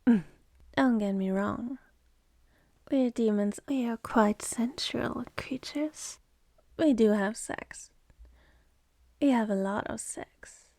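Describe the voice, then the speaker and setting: A woman's voice: a little high, slow, long pauses, dark tone, no room sound. A woman voicing a sardonic, seductive demon in an ASMR roleplay, mocking and contemptuous, speaking close to the mic. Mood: teasing.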